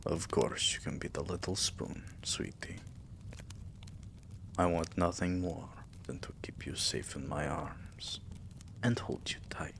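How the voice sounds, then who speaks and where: A man's voice: deep voice, fairly even intonation, slow, vocal fry, full character voice. A man voicing a tender, possessive vampire king in an intimate, soothing ASMR roleplay, murmuring and often slipping into whispers. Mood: intimate, whispering.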